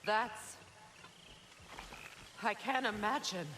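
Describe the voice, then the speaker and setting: A woman's voice: medium pitch, drawn-out words, bright, forceful tone, a little hiss or hum. A woman playing a proud, grieving, vengeful goddess in a video game, with a guarded, cutting delivery. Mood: subdued. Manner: guarded, cutting, dryly sardonic. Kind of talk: cinematic video game acting.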